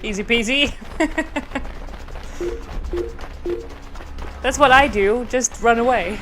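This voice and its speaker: Girl doing casual game reactions, kinda high, takes her time, halting, music behind. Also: a bit bright. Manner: halting, thinking aloud. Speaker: reaction video host.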